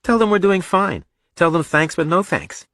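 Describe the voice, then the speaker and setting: A male narrator plays a cocky schoolboy in an audiobook, his pitch lifted well up. He is blunt, argumentative and sardonic, heard in a dry, close recording. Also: animated, moderate pace, fairly bright tone, no room sound. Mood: protesting. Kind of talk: audiobook character dialogue.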